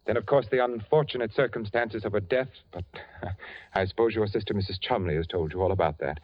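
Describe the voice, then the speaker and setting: A man's voice: on the low side, a little fast, lightly acted. An actor playing an eccentric rich widowed father in a 1940s radio drama, genteel and nervously insistent. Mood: uneasy. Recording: dull lo-fi 1940s radio broadcast.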